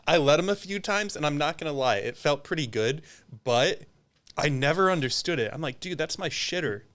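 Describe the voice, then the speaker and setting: A man's voice: fairly high pitch, very animated, moderate pace, fairly bright tone. A man hosting a podcast in a casual, joking conversation. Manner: joking, teasing. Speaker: podcast host.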